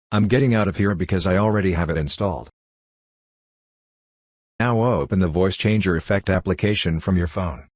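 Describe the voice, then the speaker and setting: A man's voice: sits low, no room sound, studio quality. A man narrating a step-by-step tutorial as presenter, in an instructional way with non-native English phrasing.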